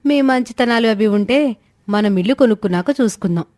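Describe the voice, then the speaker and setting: A woman's voice: on the high side, brisk, a little mellow, natural voice. A woman voicing a practical young daughter in a moral story, pleading earnestly. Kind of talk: dramatized moral story dialogue.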